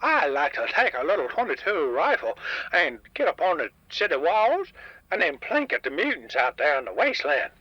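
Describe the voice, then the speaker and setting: A man voicing a grumpy, stubborn old diner owner, raspy, crotchety and rambling, heard over a radio call-in line. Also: on the high side, sing-song, normal speed, no echo, muffled recording. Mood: gruff, opinionated. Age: older. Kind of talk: comedic radio drama guest. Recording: dry, band-limited radio call-in line.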